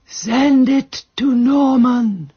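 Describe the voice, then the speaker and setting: A very husky-voiced, genteel English spinster takes her time over each precise word. She is a fussy, resourceful amateur sleuth in a radio play, heard through slightly muffled sound. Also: high-pitched, extremely slow, heavily acted. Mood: solemn, trance-like. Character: prim, resourceful, genteel spinster lady sleuth, faking seances. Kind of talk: British radio drama acting.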